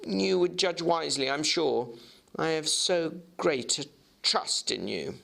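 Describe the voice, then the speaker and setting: A man acts out a feverish gentleman doctor in a dramatic storybook reading. His voice is pushed high and swings about with agitation. He insists fervently, faltering as he tries desperately to convince. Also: animated, a little slow, creaky, full character voice. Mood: anxious. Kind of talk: dramatic audiobook character reading.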